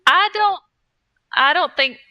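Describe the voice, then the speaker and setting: A woman's voice: extremely high pitch, animated, slow pace, sharp tone, no echo, dull audio. A woman chatting and bantering casually as a podcast co-host.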